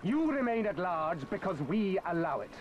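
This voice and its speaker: A contemptuous Imperial officer from a video game. His voice is high for a man and swoops expressively with pompous scorn, over faint background noise. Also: sing-song, normal speed, highs cut off. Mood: contemptuous threat. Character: haughty, contemptuous male Imperial military officer.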